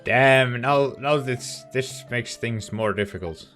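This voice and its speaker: A man's voice: medium pitch, slow pace, a bit bright. A man giving casual let's play commentary, musing aloud in short reactions. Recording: faint game music behind.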